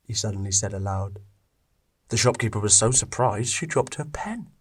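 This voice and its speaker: A man's voice: fairly low pitch, animated, normal speed, breathy edge. A man narrating a scripted story as its reader.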